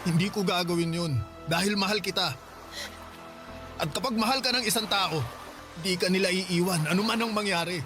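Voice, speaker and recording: An actor playing a devoted, steadfast husband in a Filipino radio drama, speaking earnestly and pleadingly. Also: male voice, sits high, normal speed, over music, lightly acted.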